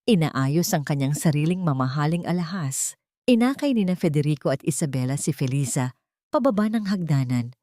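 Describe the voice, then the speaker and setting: A woman reading a dramatic scripted story in a measured, solemn and suspenseful way. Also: low voice, animated, mellow, no room sound, studio quality. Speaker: audio story narrator.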